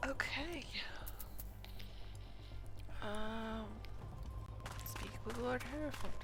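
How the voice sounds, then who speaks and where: A woman's voice: fairly high pitch, extremely slow. A woman streaming a game with casual commentary, chatting and muttering asides to herself. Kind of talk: casual gameplay commentary, reading dialogue aloud. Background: game music.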